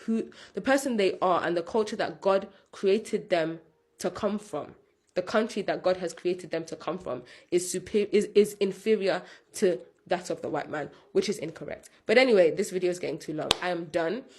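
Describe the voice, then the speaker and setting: A woman's voice: fairly low pitch, moderate pace, a little mellow, slightly rough. A young woman who grew up in the UK, talking straight to camera in a casual, passionate opinion monologue and repeating words for emphasis. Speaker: vlogger.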